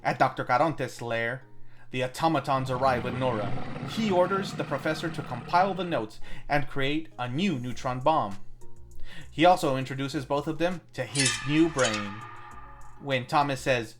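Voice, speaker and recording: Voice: medium pitch, normal speed. A film review host narrating a scripted plot recap with tongue-in-cheek asides. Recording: music under the voice.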